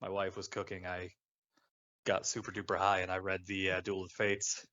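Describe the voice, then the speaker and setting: A man's voice: deep voice, completely flat delivery, fairly quick, sharp tone, dull audio. A man in his twenties co-hosting a podcast, bantering casually and swearing as he teases his friends. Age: 20s. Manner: teasing, profane banter. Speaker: podcast co-host. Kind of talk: casual chat.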